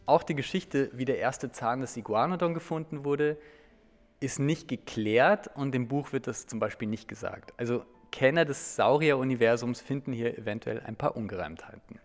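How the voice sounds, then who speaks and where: A man's voice: medium pitch, normal speed, big-room sound, faint music behind. An Austrian man co-hosting a podcast, talking through a book review in a thoughtful, slightly hesitant conversational way.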